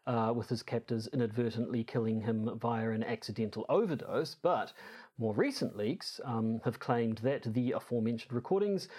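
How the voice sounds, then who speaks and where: A man of medium pitch co-hosts a podcast. He talks casually and at some length, rambling a little and hesitating as he repeats words on the way through a sentence. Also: normal speed, a little mellow. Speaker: podcast co-host.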